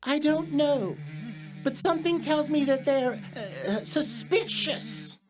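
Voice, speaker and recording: A woman playing a gentle, naive, earnest storybook bear in a children's audio drama, musing in a slow-witted way. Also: high-pitched, a crowd in the background. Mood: earnest, suspicious.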